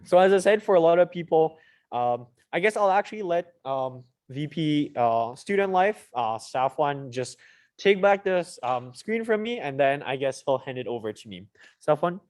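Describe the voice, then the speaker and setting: Higher-voiced young man hosting online. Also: expressive, moderate pace, a little mellow. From Mauritius. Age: young adult. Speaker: student presenter and panel moderator. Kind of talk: informal online presentation, hosting. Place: online video call.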